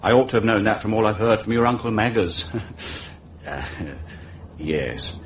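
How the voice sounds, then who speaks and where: An actor playing a wily middle-aged London undertaker with a Cockney accent, ingratiating, wordy and deferential, in a radio detective drama. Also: male voice, on the low side, expressive, normal speed, lightly acted. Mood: sly, confiding. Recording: muffled, hissy radio recording, distant mic.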